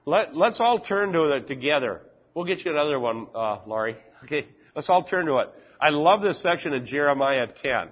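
A man's voice: a little high, lively intonation. Give me a chatty man teaching a Bible study, on a slightly dull recording. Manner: chatty, reads scripture slowly and deliberately, laughs easily. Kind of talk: informal Bible class teaching. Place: classroom with fans running.

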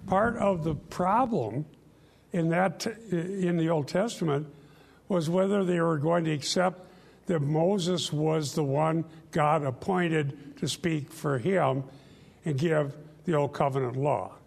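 An older man leads a radio Bible discussion with a fry-edged voice that sits a bit high for a man. He teaches earnestly and with emphasis, pausing often between phrases. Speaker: radio show host and pastor.

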